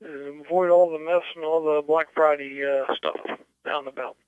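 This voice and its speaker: Higher-pitched man on dull ham radio. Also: steady tone, moderate pace, clean tone, no echo. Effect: amateur radio transmission. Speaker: ham radio net participant. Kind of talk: casual, halting radio check-in. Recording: dull amateur radio audio.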